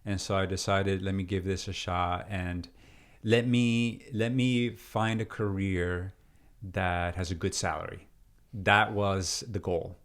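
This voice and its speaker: A man in his thirties, originally from Peru, talking through his life story as a guest in a relaxed interview, with many fillers and restarts. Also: low-pitched, slow pace. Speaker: deacon and seminarian, interview guest. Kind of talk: casual interview conversation.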